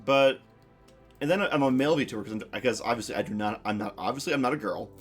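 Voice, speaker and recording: A man's voice: mid-range voice, fast talker, slightly rough, soft background music. Rambling, reflective male streamer. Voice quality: masculine voice. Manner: rambling, reflective, many restarts and fillers. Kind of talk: casual stream chat.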